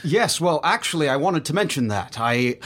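A man playing a literal-minded spaceship science officer in an improvised sci-fi comedy, formal, hesitant and deadpan in wording. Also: on the high side, normal speed, background noise, lightly acted. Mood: mildly awkward.

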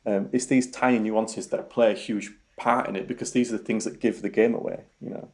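A young man with a Yorkshire accent answers interview questions. His voice sits low and has a gravelly edge. He keeps stopping to restart his words, with many ums along the way. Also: fairly even intonation, brisk, a little mellow. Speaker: robotics researcher guest.

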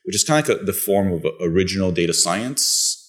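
A man's voice: deep voice, animated, a little fast, fairly bright tone. A middle-aged man from Bulgaria, a podcast co-host, chatting casually and telling his background with frequent restarts. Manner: conversational storyteller with restarts.

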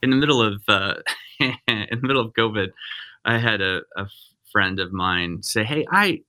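Man, pastor, very bright voice, lowish pitch, rambly casual chat. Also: animated, normal speed. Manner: rambling, self-interrupting, frequent restarts. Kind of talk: casual podcast conversation.

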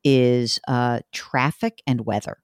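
A woman from New York hosting a podcast in casual chat, animated, wry and self-deprecating. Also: extremely low pitch, slow, clear, resonant tone, dry recording, clean studio sound. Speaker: podcast host. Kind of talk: casual chat, audience address.

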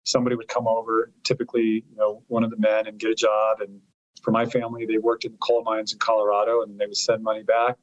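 A man's voice: fairly low pitch, monotone, quick pace, dry recording, muffled recording. A man in his fifties, a guest in an interview, telling family stories in a rambling way, often repeating words as he searches for his thought; Italian was his first language. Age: 50s. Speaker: guest, lawyer and author. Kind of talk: storytelling interview.

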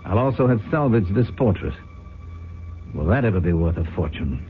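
A greedy schemer in an old radio mystery. His voice is fairly low and very animated. The recording is narrow and tinny, with a long reverb and faint hiss. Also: moderate pace, soft background music. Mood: smug. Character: smug greedy schemer turning frightened and disbelieving. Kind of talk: 1970s radio mystery acting.

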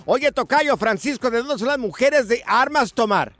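A Mexican man co-hosting a radio show, chatting in a playful, animated way. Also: extremely high pitch, normal speed. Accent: Mexican Spanish. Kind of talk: casual radio banter.

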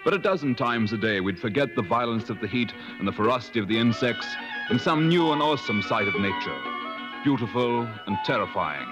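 An actor playing a genteel safari companion who narrates a 1950s radio drama in a polite, literary, measured way. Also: male voice, medium pitch, expressive, lightly acted. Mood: reflective narration. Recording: muffled old radio, loud music underneath.

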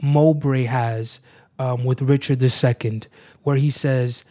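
A man, an actor, speaking thoughtfully in an interview in a measured way, repeating phrases for emphasis. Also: medium pitch, fairly even intonation, mellow, no room sound, clean studio sound. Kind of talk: thoughtful interview talk, quoting verse.

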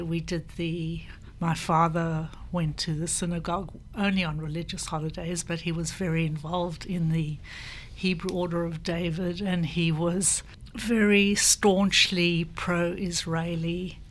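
Older woman. Low-pitched, unhurried, reflective storytelling. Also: little pitch movement, slight background noise. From South Africa. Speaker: panel guest. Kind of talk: personal recollection in conversation.

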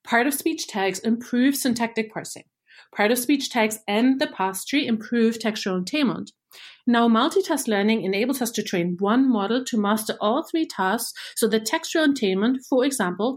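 A woman's voice: a little high, moderate pace, breathy edge. German-native woman explaining machine learning. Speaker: research engineer. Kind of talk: scripted explanation.

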